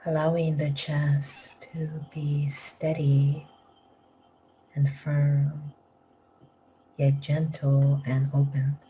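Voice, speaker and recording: A woman guides a meditation in a low, even voice, her slow phrases often sinking into a whisper. Her tone is mellow and unhurried. A faint hiss sits under the recording. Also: poor audio. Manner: slow soft-spoken instructions, drifting into whispers. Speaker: meditation teacher. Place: live group session.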